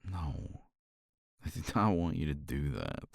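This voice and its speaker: Very deep gravelly man, soft and intimate. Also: big pitch swings, measured pace, no echo, clean studio sound. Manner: tender, reassuring partner talk to a listener. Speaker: ASMR roleplay performer. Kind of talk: romantic ASMR roleplay, intimate soft talk.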